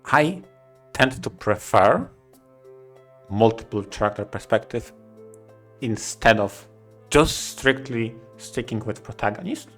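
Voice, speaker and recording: A man co-hosting a podcast casually, speaking in halting, emphatic short phrases. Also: mid-range voice, lively intonation, a bit bright, soft background music. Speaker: podcast co-host.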